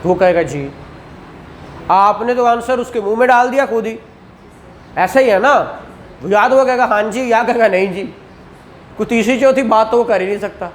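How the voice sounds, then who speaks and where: A man's voice: high-pitched, normal speed, long pauses, a little hiss or hum. A man, teaching a law class and explaining points with rhetorical questions and acted examples. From Pakistan. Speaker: law lecturer. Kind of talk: classroom lecture.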